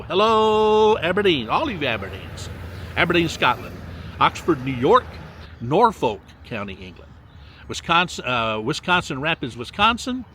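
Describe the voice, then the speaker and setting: Older male vlogger, lively sing-song delivery, casual shout-outs outdoors with faint background noise. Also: high-pitched. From Mississippi. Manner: drawn-out, sing-song reading of place names with folksy asides. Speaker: vlogger reading viewer locations. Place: outdoors by a lakefront.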